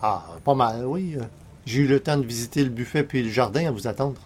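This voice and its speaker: A man's voice: mid-range voice, brisk, a little mellow, a little hiss or hum, natural voice. A man acting as a casual, matter-of-fact party guest in a radio comedy, speaking in a hesitant, conversational way.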